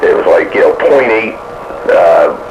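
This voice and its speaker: Low-voiced man on muffled, noisy radio. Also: big pitch swings, a little slow. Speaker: radio hobbyist. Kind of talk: casual technical chat over two-way radio.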